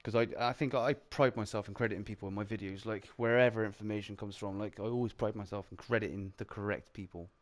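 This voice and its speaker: A man with a British accent, hosting a casual round-table chat about games, talking in quick bursts, often laughing and filling with "like". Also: lowish voice. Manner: animated, chatty, laughs often, heavy filler "like". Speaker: streamer and show host.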